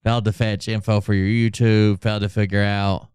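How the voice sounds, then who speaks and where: Clear-voiced sarcastic man on a livestream. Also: lowish voice, flat delivery, fairly quick, a little mellow, no echo, clean studio sound. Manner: sarcastic, profane. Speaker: channel host doing mocking dramatic readings. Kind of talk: casual livestream commentary.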